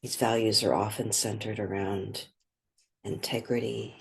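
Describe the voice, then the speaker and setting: A soft-spoken woman leading a guided meditation, pausing between short phrases. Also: extremely low pitch, flat delivery, creaky, husky.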